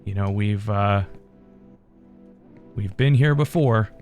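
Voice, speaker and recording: A man hosting his gaming channel, talking in a relaxed, rambling way with fillers, his voice still strained from a head cold. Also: lowish voice, slow, faint music behind. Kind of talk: casual gameplay commentary.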